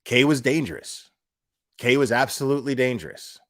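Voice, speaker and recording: A man with an average-pitched voice delivers an unscripted, opinionated rant. He is blunt and swears casually, restating phrases to drive them in. The sound is dry, with little room on it. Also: expressive, normal speed, fairly bright tone, no room sound. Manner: emphatic, repeats phrases for stress. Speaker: livestream commentator.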